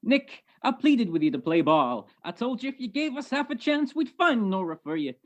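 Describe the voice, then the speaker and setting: A woman's voice: on the high side, sing-song, normal speed. A woman playing a suspicious old male police sergeant who talks in needling tough-guy slang in a radio detective play. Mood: accusing. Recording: muffled video-call recording.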